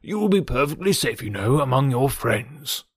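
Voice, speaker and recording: A man voicing a gentle, fretful old gentleman, an anxious father in a classic novel, with a fussy, mournful, deliberate manner. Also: medium pitch, very animated, breathy edge, no echo, clean studio sound. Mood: apologetic, concerned. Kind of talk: audiobook character voice from a classic novel.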